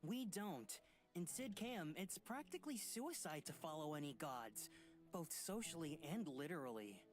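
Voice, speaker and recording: A woman voicing a frank, expressive companion character in a visual novel. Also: low voice, moderate pace, voice pushed down. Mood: frank, grave. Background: music.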